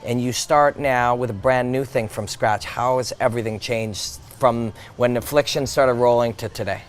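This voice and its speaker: A man interviewing a guest in a relaxed, chatty way, asking loosely phrased questions. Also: medium pitch, normal speed, slight background noise.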